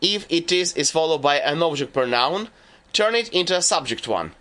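A man giving scripted narration for a language lesson, laying out rules and lists in a measured, deliberate way. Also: fairly high pitch, expressive, sharp tone. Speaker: language teacher.

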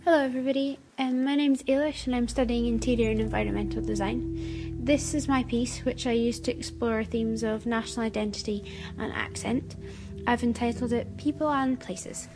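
Young female student, higher voice, short formal intro over music. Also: fairly even intonation, normal speed. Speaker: student introducing her audio piece. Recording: music playing underneath.

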